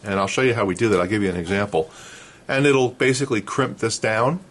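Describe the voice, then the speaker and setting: A man with a fairly low voice hosts a casual hands-on tutorial. He explains each step plainly and practically, as if showing someone at a workbench. The recording has noticeable background noise behind his voice. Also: quick pace, fairly bright tone. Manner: explains step by step, checking with "okay". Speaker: host of a vintage radio restoration how-to.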